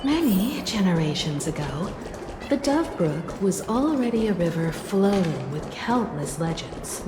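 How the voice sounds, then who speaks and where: An actress voicing a calm, nostalgic adult woman in a video game, speaking in a measured, articulate, reflective way. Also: fairly low pitch, background noise. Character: calm, nostalgic adult woman, former scrappy student martial artist. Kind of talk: video game story dialogue. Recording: game music behind the voice.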